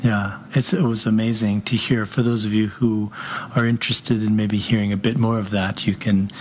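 A man's voice: on the low side, little pitch movement, moderate pace, slight background noise, poor audio. A man, a priest hosting an evening talk in Colorado, speaking in an affable way that prompts the guest, with frequent acknowledgments. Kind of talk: conversational interview.

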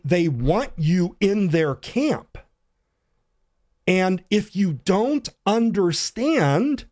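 A man's voice: sits high, a little mellow. A man hosting a talk, speaking earnestly and urging his listeners, with frequent restarts and fillers. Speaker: host. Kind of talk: talk on church language.

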